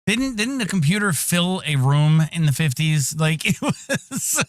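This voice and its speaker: A man's voice: a little high, very animated, measured pace, no echo, studio quality. A man with a whiny, nasal voice co-hosting a podcast through an EQ'd mic, chatting casually and giving his opinions. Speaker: podcast co-host. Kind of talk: casual chat.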